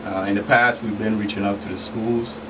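A middle-aged man giving halting, formal remarks as a guest agent on radio. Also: lowish voice, little pitch movement. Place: small radio studio. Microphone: boom-arm studio microphone. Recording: slightly distant, clear room hum.

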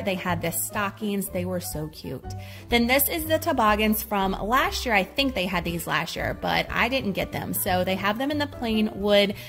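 A woman's voice: medium pitch, fairly bright tone. Chatty Southern woman filming a store walk. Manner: chatty, upbeat, describing items and prices. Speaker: shopping vlogger. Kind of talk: casual shop-with-me narration. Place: large retail store, indoors. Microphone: handheld phone camera.

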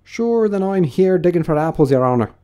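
A simple animal servant from a storybook, voiced by a man, high and bouncing in pitch. He is deferential and plaintive, with a stubborn streak. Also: animated, brisk, warm tone, clean tone, natural voice.

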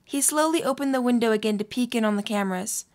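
A woman's voice: on the high side, quick pace. A woman reading scripted story narration as the narrator of an audio drama.

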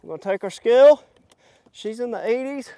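A man's voice: very high voice, moderate pace, dark tone, no room sound. A man in his thirties giving hands-on instructions as a fishing guide, out on a boat. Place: outdoors on a boat on a lake. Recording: wind and boat noise outdoors.

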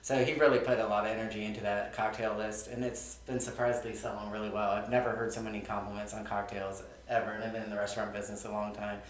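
Low monotone guy chatting loosely, lots of "like", roomy echo. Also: fast talker, hardly any pauses. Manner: relaxed, rambling, many "like"s. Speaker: restaurant co-owner. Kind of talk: casual interview answers. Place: small studio on a couch. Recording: echoey room.

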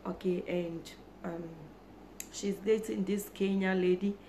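A hoarse woman, chatting slowly to camera for her channel and often repeating herself. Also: mid-range voice, fairly even intonation, mellow, slight background noise. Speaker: YouTuber. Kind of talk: casual talk to camera. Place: small indoor room.

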